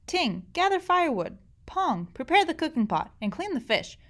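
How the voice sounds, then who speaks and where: A woman's voice: sits high, very animated, normal speed, pitched above the performer's own voice, heavily acted. A woman voicing a bossy, grumpy older male fisherman who scolds his lazy nephews. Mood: scolding. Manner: exasperated, scolding yells.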